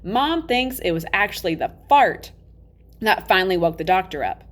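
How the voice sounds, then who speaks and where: A woman reading a children's novel aloud in an animated, emphatic storybook style, acting out the dialogue. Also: mid-range voice, normal speed. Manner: expressive, emphatic, acts out dialogue.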